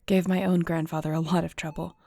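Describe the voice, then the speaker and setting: Actress as somber shifter woman, audio roleplay: lowish voice, dry close sound, conversational, burdened by guilt. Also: level tone, a little fast, gravelly, dark tone, dry recording. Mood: matter-of-fact briefing. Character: weary shifter woman investigator, guilt-ridden reluctant heir.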